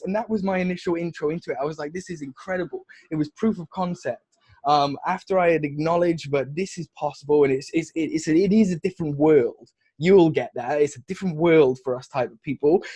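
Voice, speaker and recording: Young welsh guy with a kinda mixed accent, fast energetic podcast chat, dry close mic. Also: on the high side, dry recording. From Wales. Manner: energetic, rambling with restarts. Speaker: guest, funnel agency founder.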